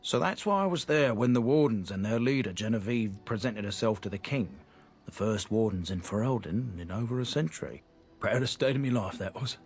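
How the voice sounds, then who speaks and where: Rambling old trader. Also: male voice, lowish voice, animated, brisk, a little mellow, husky. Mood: chatty. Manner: rambling, folksy storyteller. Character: older male trader, nervous and chatty. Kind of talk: game dialogue.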